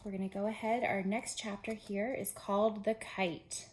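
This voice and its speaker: A woman with a mid-pitched voice reads a storybook aloud as a preschool teacher. She talks straight to young children and explains things simply between passages. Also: flat delivery, moderate pace.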